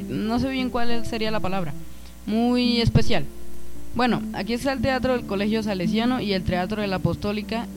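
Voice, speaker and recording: A man's voice: high-pitched, close to an everyday voice, rough recording. A man with a Colombian accent playing a friendly young local in a radio drama, explaining things casually. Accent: Colombian Spanish. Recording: music bed under the voice.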